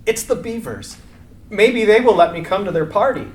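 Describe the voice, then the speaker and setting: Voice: medium pitch, big pitch swings, moderate pace, a little hiss or hum, lightly acted. An actor playing a small, naive baby rabbit who is lonely, hopeful and politely earnest. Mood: shy, hopeful. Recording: echoey room.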